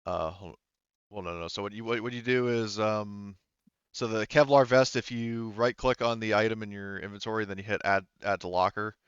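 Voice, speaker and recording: A man with a smooth, fairly low voice works as a game master. He talks casually and haltingly, rambling through rules and narration. The sound is close and dry, a little dull. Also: steady tone, fairly bright tone, no echo. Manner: halting, rambling, informal with mild swearing. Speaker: game master of an online tabletop roleplaying game.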